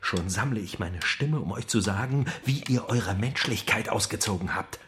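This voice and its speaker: An actor playing a defiant, fanatical prisoner on hunger strike in a theatrical radio play, speaking with intense, declamatory delivery. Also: male voice, on the low side, normal speed, a little hiss or hum, natural voice. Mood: defiant, menacing. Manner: intense, declamatory, shifting from hushed mutter to ringing proclamation.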